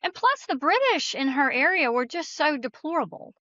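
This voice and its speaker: A woman's voice: high-pitched, sing-song, normal speed, sharp tone, no echo, dull audio. A woman interview guest explaining things conversationally, with plainspoken, folksy phrasing. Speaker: author guest being interviewed.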